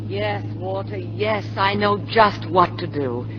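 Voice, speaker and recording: An old, noisy radio drama recording with a dull sound. An actress plays an American femme fatale, a cool and controlled wife with murder in mind, coaxing in a vintage screen-era manner. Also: female voice, mid-range voice, slow, lightly acted. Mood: anxious, intimate. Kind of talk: 1950s radio drama acting.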